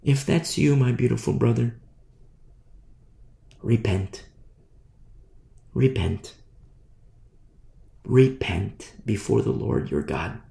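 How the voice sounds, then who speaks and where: A man preaching a sermon in an emphatic, repetitive style, swinging from hushed to loud. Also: mid-range voice, animated, takes it slowly, gravelly, long pauses. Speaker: pastor. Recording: roomy echo.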